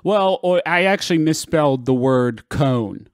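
A man's voice: fairly high pitch, lively intonation, a little slow, no echo, clean studio sound. A man playing a chummy, eager chief executive in an improvised comedy bit, folksy, earnest and over-enthusiastic. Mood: eager, helpful.

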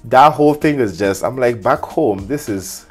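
A man's voice: mid-range voice, normal speed, music in the background. A man from Ghana who lived in Kenya, telling personal stories expressively as an interview guest. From Ghana, long life in Kenya. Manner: expressive, acts out quoted exchanges, laughs while talking. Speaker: podcast guest, cyber security specialist. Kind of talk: animated personal storytelling in an interview.

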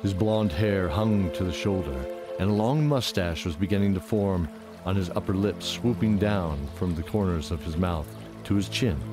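A man narrating a scripted fantasy drama in a measured, deliberate, dramatic way. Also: low-pitched.

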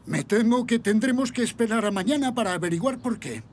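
A man's voice: extremely high pitch, lively intonation, a little fast. A man voicing a kindly old grandfather zebra in a children's cartoon, patient and explanatory with thoughtful hums. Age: older.

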